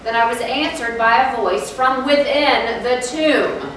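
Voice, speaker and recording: A woman acts out a bitter murderer recounting a crime in deliberate, formal Gothic style. The pitch sits fairly high. The sound is distant, heavily echoing and noisy, as on a theatre stage. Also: a bit bright. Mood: dread, bitter. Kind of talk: dramatic stage reading of Gothic horror. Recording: echoey hall, distant mic, noisy.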